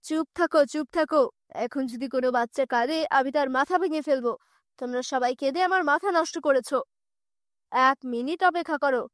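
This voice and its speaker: A woman voicing a menacing male kidnapper villain in a cartoon, with a gruff, threatening manner. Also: high-pitched, fairly quick, fairly bright tone, no echo, studio quality, pitched below the performer's own voice. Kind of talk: cartoon dubbing.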